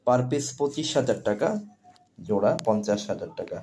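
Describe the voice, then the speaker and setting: A man with a Bangladeshi accent gives a product demonstration as a seller, speaking in halting, repetitive phrases. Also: lowish voice, animated, warm tone. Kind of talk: product demonstration sales pitch.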